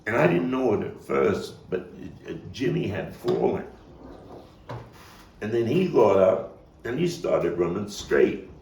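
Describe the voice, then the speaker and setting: A man performing a dramatic stage monologue as a vengeful young gang member, profane and intense, halting and repeating his words. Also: lowish voice, very animated, gravelly, a little mellow, full character voice. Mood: urgent, agitated. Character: volatile, vengeful male street gang youth recounting a bloody fight. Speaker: actor and playwright performing his own monologue. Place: echoey room, table read. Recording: echoey, noisy room, distant mic.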